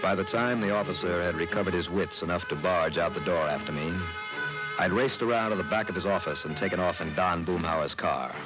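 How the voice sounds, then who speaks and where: Vintage radio drama with music in the recording. A low-pitched American man an actor is a confident, wisecracking investigator. He delivers his lines breezily and wryly, in classic detective style. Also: fairly quick, lightly acted, rough recording. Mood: wry narration. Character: confident, wisecracking male private insurance investigator. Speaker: lead actor and first-person narrator. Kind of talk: old-time radio detective drama acting and narration.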